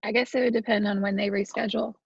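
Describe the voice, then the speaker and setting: A woman athlete casually asking a question over muffled, low-fidelity video call audio. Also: steady tone, rapid-fire, creaky, husky, dry recording.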